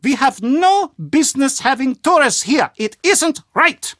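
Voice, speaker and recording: An actor playing a fussy, excitable castle official in a video game, theatrical and exclamatory. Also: male voice, extremely high pitch, unhurried, no echo, clean studio sound, exaggerated voice. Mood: indignant, grumbling. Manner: theatrical, self-talking, exclamatory. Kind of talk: video game character acting.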